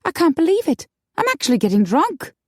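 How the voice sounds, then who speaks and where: Audiobook casting: woman performer as sweet, wide-eyed young vampire woman, high girlish voice, emotional, very dry sound. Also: big pitch swings, a little fast, a little mellow, dry recording. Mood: tipsy, confiding. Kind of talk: audiobook character acting.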